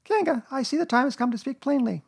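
A tiny male piglet from a children's storybook, speaking in a very high, squeaky pitch. He is anxious and indignant, protesting in pleading, spluttering bursts. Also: fast, creaky, husky, full character voice. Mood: indignant, brave.

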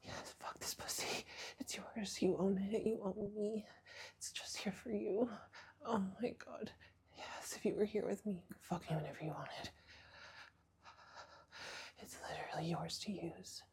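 Woman whispering super close, really breathy and slow, kinda begging and out of breath. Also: medium pitch, steady tone, darkish tone. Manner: breathy whispering, pleading and moaning. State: aroused and breathless. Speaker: audio roleplay performer. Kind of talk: intimate whispered roleplay monologue.

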